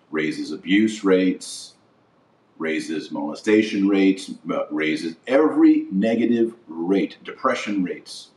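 An American man hosting a podcast, delivering a political monologue in short, deliberate, emphatic phrases. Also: on the low side, a little mellow. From United States. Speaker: podcast host. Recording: roomy echo, distant microphone.

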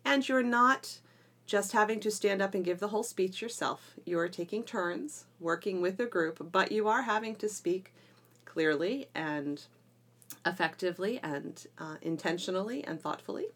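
A woman's voice: mid-range voice, normal speed, a bit bright. A middle-aged woman talking conversationally as a podcast co-host, explaining things with emphatic phrasing. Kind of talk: conversational educational podcast discussion.

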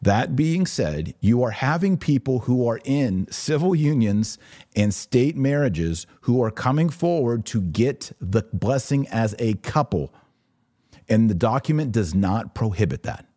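A low, mellow-toned man delivers religious commentary as a podcast host. His voice is slightly husky and very dryly recorded, and he explains things in an emphatic, conversational teaching manner. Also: lively intonation, unhurried, dry recording, studio quality. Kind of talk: live religious commentary, answering listener questions.

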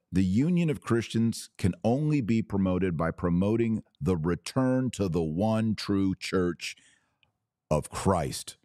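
Male podcast host for opinionated religious commentary: slow, deliberate, punchy phrasing, emphatic and dogmatic, in a dry studio-like sound. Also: deep voice, a little mellow, no room sound.

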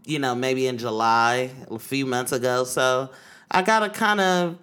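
A man's voice: medium pitch, measured pace, pushed and bright, clean tone. A man from Oakland co-hosting a podcast, telling stories in a loose, slangy way and swearing freely. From East Oakland, California. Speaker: podcast co-host. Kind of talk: casual podcast chat.

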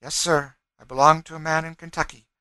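Man voicing a desperate young runaway mother, high-pitched. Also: little pitch movement, fairly quick, bright, forceful tone, clear, resonant tone, no echo. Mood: earnest. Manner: pleading, earnest, dialectal grammar.

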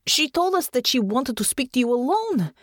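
A woman voicing a blunt but caring foreign woman, speaking deliberately and dropping small words. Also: high voice, sing-song, no echo, clean studio sound, voice pushed up. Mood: appalled. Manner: slow, deliberate, dropping articles.

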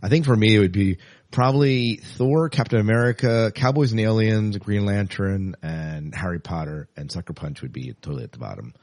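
Low-pitched male podcast host, dry close sound. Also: fairly quick, darkish tone, no echo. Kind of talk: casual chat about news.

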